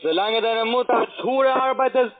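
A man taunts someone over the phone. His voice is high and the line sounds thin and tinny. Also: normal speed, a crowd in the background. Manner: mocking, crude. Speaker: call center caller.

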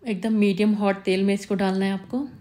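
A woman calmly narrating a step-by-step cooking recipe as the host of her channel, repeating tips patiently. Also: medium pitch, fairly quick.

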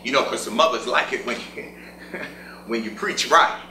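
A man's voice: medium pitch, unhurried, noisy background, close to an everyday voice. An American man playing a pompous, fervent church reverend in a gospel stage play, with preacherly delivery that slides into singsong. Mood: muttering, self-assured. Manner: preacherly, breaks into singsong cries. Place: indoor stage before an audience. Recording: echoey theater stage with music.

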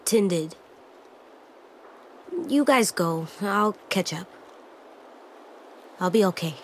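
A woman's voice: mid-range voice, lively intonation, takes it slowly, slight background noise, low-quality recording. A solemn little boy. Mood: friendly, earnest. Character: solemn, determined little boy, loyal and blunt. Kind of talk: dramatic video game voice acting.

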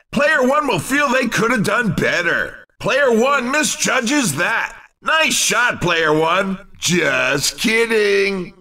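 A man's voice: very high-pitched, sharp tone, recorded from a distance, full character voice. An actor playing an exuberant, cheeky male race commentator in a video game, calling the action in punchy, wisecracking exclamations. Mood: excited, wisecracking.

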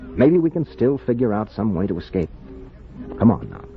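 An actor playing a tense, protective young husband on the run, urgent and commanding, in 1950s radio drama style. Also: male voice, fairly low pitch, big pitch swings, moderate pace. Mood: soothing. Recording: dull vintage radio recording.

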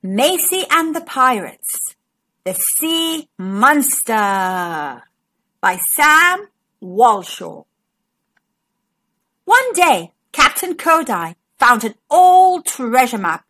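High, bright, animated woman storyteller. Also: extremely slow, breathy edge. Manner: expressive, dramatic emphasis. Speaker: children's storybook narrator and channel host. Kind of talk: scripted read-aloud for young children.

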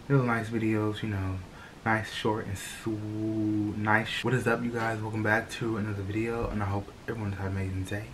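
A young man chats casually on camera, tossing out slangy, playful comments between clips. His voice sits low. The webcam audio carries a clear layer of background noise. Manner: loose, slangy, playful asides. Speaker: reaction channel host. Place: bedroom, indoors. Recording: noisy home webcam audio.

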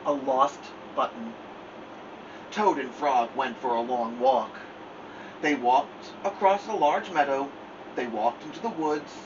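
A man's voice: medium pitch, takes it slowly, distant mic, highs cut off, background noise. A man in his thirties reading a children's story aloud expressively as a story time host. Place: small room at home.

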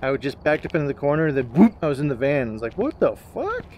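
A man's voice: fairly high pitch, big pitch swings, fast talker, a little mellow, slight background noise. A man playing a co-op horror game, chatting casually and animatedly, with exclamations and some swearing. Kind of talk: casual gameplay chat. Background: game ambience and noises.

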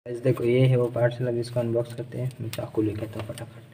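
Young man vlogging, flat-toned, noisy recording. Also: medium pitch, fast, creaky. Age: young adult. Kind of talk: casual vlog chat to camera. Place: at home, moving between rooms, rooftop and yard. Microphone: handheld phone at arm's length. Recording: noisy handheld recording with ambient sound.

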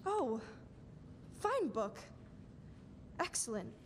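Young woman as high-voiced heavenly superintendent, echoing hall. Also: big pitch swings, very slow, exaggerated voice. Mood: calm, instructive. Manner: instructive storytelling. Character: calm, authoritative superintendent of angels. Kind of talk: stage radio-play acting. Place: school auditorium stage, standing at mic. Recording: reverberant noisy hall.

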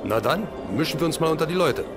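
A man acts an easygoing action hero in an audio drama. He talks quickly and casually at a middle pitch, matey but businesslike, with music behind him. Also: animated, natural voice. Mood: brisk, easygoing.

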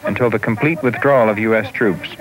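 A man's voice: lowish voice, quick pace, crowd noise, poor audio. A man delivering scripted documentary narration on an old 16mm film soundtrack.

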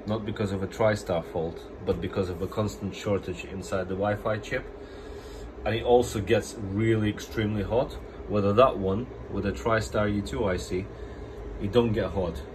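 A man's voice: lowish voice, background noise. A man with a cold explaining a phone repair at his workbench in a casual, plain way. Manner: plain, explanatory, some restarts. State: has a cold. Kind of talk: casual hands-on repair demo. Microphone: phone camera held over bench.

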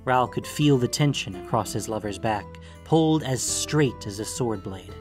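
Man, fiction narrator, medium pitch, scripted reading, faint guitar backing. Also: animated, moderate pace, a little mellow. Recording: music bed under the voice. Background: guitar music.

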